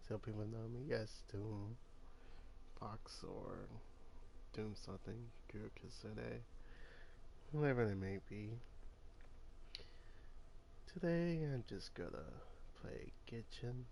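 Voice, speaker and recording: A man's voice: fairly low pitch, takes it slowly, vocal fry, pauses often, warm tone, slight background noise. A man streaming a game, chatting casually and rambling through his intro with fillers.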